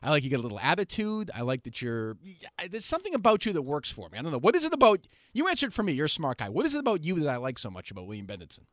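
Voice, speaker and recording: A man in his forties hosting an interview, teasing his guest with rambling, animated questions. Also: fairly high pitch, rapid-fire, dry recording, studio quality. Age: 40s. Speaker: host. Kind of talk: casual interview chat.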